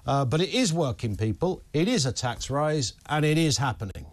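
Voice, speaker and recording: A man's voice: fairly high pitch, expressive, moderate pace. A man, hosting a talk show interview in a television studio with a lapel mic, chatty and opinionated with casual asides. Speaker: news talk show host. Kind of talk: conversational broadcast interview.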